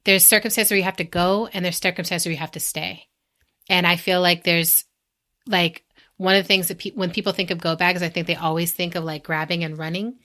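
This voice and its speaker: A woman with a slightly low voice and an American accent. She chats casually and reflectively in a podcast setting, sharing advice and slipping into imagined quotes to illustrate her points. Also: level tone, brisk, bright, forceful tone. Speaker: podcast co-host giving advice.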